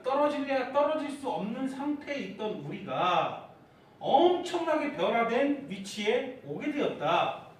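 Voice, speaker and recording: High-voiced preacher in an echoey church hall. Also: male voice, recorded from a distance, slight background noise. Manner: rising to fervent, shouted prayers; asks the congregation questions. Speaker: pastor. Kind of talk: sermon. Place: church sanctuary.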